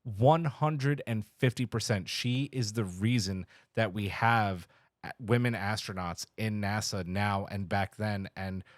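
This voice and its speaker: Low-voiced man chatting on a podcast. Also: no room sound, clean studio sound. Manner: emphatic, halting, enthusiastic storytelling with acted-out quotes. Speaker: podcast co-host. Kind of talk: casual chat, heartfelt tribute.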